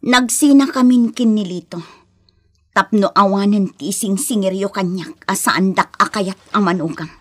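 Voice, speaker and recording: An actress with an Ilocano accent playing a long-suffering, respectful daughter-in-law in a radio drama. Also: female voice, mid-range voice, moderate pace, lightly acted. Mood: pleading.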